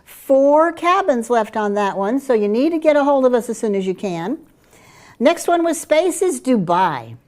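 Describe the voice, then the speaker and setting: Woman, middle-aged, Dutch Caribbean accent, eager tour promoter, fairly high voice. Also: sing-song, moderate pace, a little mellow. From Curaçao. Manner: enthusiastic sales pitch with fillers. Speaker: travel program presenter. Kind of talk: promotional chat about group tours.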